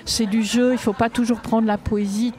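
A woman's voice: fairly high pitch, little pitch movement, moderate pace, a little mellow. A woman poet and workshop leader answering interview questions in a reflective, light-hearted way.

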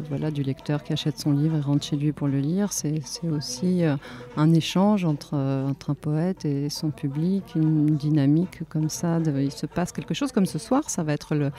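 Earnest, mellow-voiced poet. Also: mid-range voice. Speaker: poet in a performance collective. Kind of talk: conversational interview answer.

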